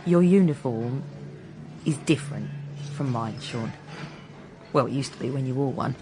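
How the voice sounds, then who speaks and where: An actress with a British accent playing a brash, teasing, guarded young ex-soldier in naturalistic radio drama, blunt and deflecting. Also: female voice, very deep voice, normal speed, background noise.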